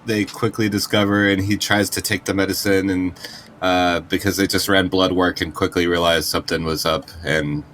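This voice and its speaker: A man chats and role-plays casually at a tabletop game. He is informal and playful, with a slightly low voice over a faintly noisy background. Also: flat delivery, moderate pace, fairly bright tone. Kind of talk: casual chat and role-play.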